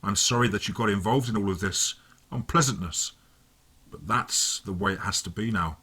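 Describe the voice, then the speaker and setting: A man voicing a sinister male villain who gloats calmly over the phone. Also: lowish voice, slightly rough, voice pushed down. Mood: calm menace. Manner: calm, mocking, drawn-out menace.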